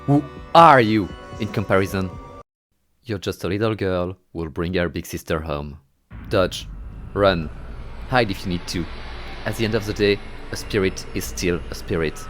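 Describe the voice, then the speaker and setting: A man with a lowish voice narrates a horror video game as a scripted story. He tells it dramatically and slips into wry, exasperated commentary. Faint music plays behind him. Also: lively intonation, moderate pace, a bit bright.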